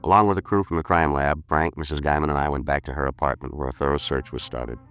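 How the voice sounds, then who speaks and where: Unflappable detective: bottom-register voice, quick deadpan delivery, old-time radio noir. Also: male voice, rumbling low, very noisy. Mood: matter-of-fact. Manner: deadpan, clipped, matter-of-fact. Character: terse, unflappable male police detective narrating his case. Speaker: police detective and narrator. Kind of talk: 1950s radio crime drama, clipped narration.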